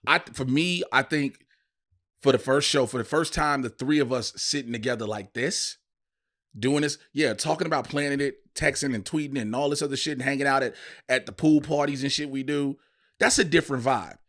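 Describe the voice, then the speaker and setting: A man co-hosting a casual podcast, telling stories in an animated way with profane slang. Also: mid-range voice, fairly quick. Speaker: podcast co-host and stand-up comedian.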